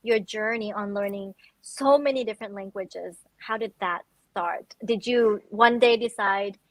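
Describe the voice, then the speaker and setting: A woman's voice: mid-range voice, unhurried, dry recording, rough recording. A woman hosting an interview, asking long eager questions with restarts. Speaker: host.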